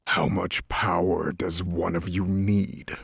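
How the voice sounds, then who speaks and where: Give this to a menacing god of necromancers in a fantasy audio drama. The voice is low and very raspy, commanding an army with frustrated impatience. Delivery is slow and heavy. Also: male voice, flat delivery, dry recording, studio quality, exaggerated voice. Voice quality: gravelly, raspy.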